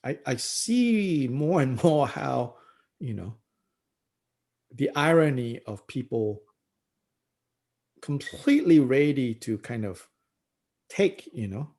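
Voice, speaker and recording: A middle-aged man leads a book study as a Buddhist teacher. His voice is husky with a gravelly edge. His pitch swings freely as he muses aloud, pausing often and chuckling between thoughts. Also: expressive, a little mellow. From Malaysia. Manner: thoughtful, unhurried, many "you know"s and pauses, chuckles often. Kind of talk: reflective discussion talk.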